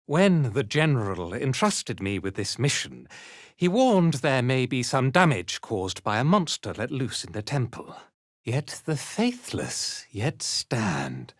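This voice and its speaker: A man's voice: mid-range voice, sing-song, slow pace, no echo, studio quality, full character voice. A man playing an arrogant, cruel drow zealot commander in a fantasy video game, pompous and sneering with disdain. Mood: haughty.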